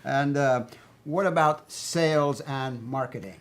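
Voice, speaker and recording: A performer playing a measured, approving business interviewer who asks reflective, hesitant questions in a staged play reading. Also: mid-range voice, lightly acted.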